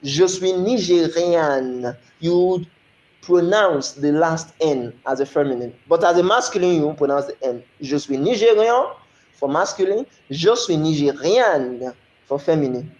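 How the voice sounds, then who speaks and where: A man's voice: a little high, animated, a little slow, darkish tone, roomy sound, dull audio. A man from Nigeria with a Nigerian accent, teaching a recorded French lesson, explaining step by step and often checking "you understand?".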